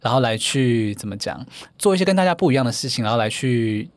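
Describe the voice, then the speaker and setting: Fast-talking gentle man. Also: medium pitch, expressive, dry recording. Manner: gentle, earnest, often trails off with soft fillers. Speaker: guest, dating event founder. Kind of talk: casual interview chat.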